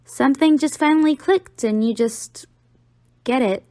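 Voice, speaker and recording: A woman's voice: high-pitched, unhurried, warm tone, no room sound. A young woman playing an affectionate, teasing college-age girlfriend in an audio roleplay, talking playfully to the listener.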